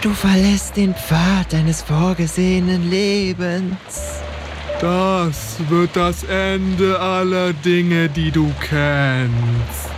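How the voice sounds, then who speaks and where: Creepy slow doubt voices, done by a woman, deep and far off, music under it. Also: fairly even intonation. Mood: ominous. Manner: slow, looming warnings. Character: eerie whispering voices of doubt, ominous.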